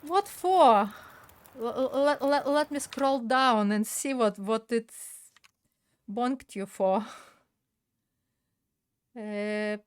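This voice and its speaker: Older woman, game streamer; slow, chatty, laughs often, fairly high voice. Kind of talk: casual live-stream chat while gaming.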